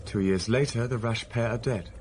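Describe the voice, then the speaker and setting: A man's voice: mid-range voice, moderate pace, a little mellow, a little hiss or hum, natural voice. An actor playing a stern, self-denying young clergyman in a period radio drama, speaking formally and measuredly. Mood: grave.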